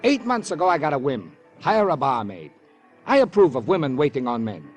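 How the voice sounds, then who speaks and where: An actor playing a fussy, self-righteous bar owner in an old radio crime drama, pompous and long-winded, with formal turns of phrase. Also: male voice, a little high, sing-song, brisk, soft background music. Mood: self-righteous, insistent. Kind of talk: 1950s American radio crime drama acting.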